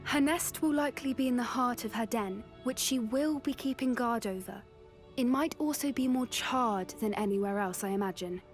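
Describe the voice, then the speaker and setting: A young woman with a British accent acting an earnest teenage witch who cares for magical creatures in a game cutscene, soft-spoken. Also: fairly high pitch, normal speed, close to an everyday voice. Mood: thoughtful, assured. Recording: music under the voice.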